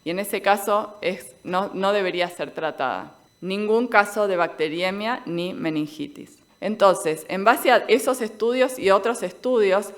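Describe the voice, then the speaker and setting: A woman's voice: medium pitch, measured pace, hardly any pauses. A woman with an Argentine accent giving an explanatory medical lecture in a didactic way, posing questions and answering them. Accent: Argentine Spanish.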